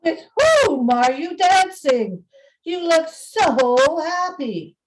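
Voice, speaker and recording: Woman acting gentle spirit, high voice, unhurried, probing, declamatory, radio play. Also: big pitch swings, exaggerated voice, poor audio.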